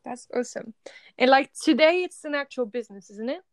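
Upbeat woman host, smooth bright voice. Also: high voice, animated, dry recording. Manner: enthusiastic, encouraging, halting questions. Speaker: podcast host. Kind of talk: interviewing.

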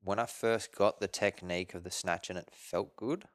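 Deep-voiced man hosting a casual podcast. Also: fairly even intonation, normal speed, dry recording, clean studio sound. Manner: informal, curious, short follow-up questions. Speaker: podcast host. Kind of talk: casual interview chat.

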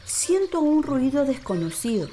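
A woman's voice: sits high, sing-song, a little slow, warm tone, soft background music, voice pushed up. A woman voicing an alarmed, watchful caiman in a storybook radio play. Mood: uneasy.

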